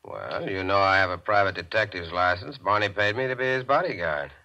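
A hard-edged, low-voiced surly bodyguard. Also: male voice, fairly quick, pushed and bright, muffled recording. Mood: guarded, surly. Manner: curt, guarded answers. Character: terse, surly bodyguard and private detective. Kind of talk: 1940s radio crime acting.

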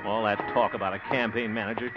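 A man's voice: fairly low pitch, fairly even intonation, fast talker, over loud music, close to an everyday voice. An actor playing a blustering, gullible middle-aged small-town man in a hammy 1950s radio comedy. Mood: musing, grumbling. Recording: muffled old 1950 radio broadcast.

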